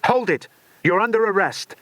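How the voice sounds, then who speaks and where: A stern, unyielding male lawman from an adventure audiobook, acted by a male reader. He is firm and commanding, with big shifts in pitch and a dry, close sound. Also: expressive, moderate pace, a bit bright, dry recording.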